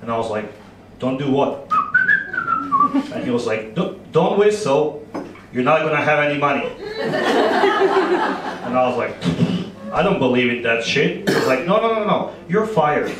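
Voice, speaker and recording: A man in his thirties from Croatia with a Croatian accent, doing an animated, playful stand-up set on a club stage with a handheld mic. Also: fairly high pitch, recorded from a distance, very noisy. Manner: animated, playful storytelling with quick asides. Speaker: stand-up comedian. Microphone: handheld microphone. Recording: echoey club, audience laughter. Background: audience laughter.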